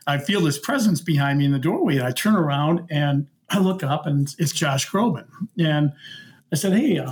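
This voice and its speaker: A middle-aged man telling anecdotes as an interview guest, casually and in halting, conversational pieces. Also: on the high side. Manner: halting, conversational, stepping through stories in small pieces. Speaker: interview guest, former concert security worker.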